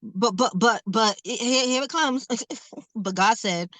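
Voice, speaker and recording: A woman's voice: a little high, slow, a bit bright, dry recording. A woman around forty, a guest who performs a rhythmic, emphatic spoken word poem and then talks casually with laughs. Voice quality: says she stutters, not heard. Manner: rhythmic, emphatic poetry delivery with laughs between phrases.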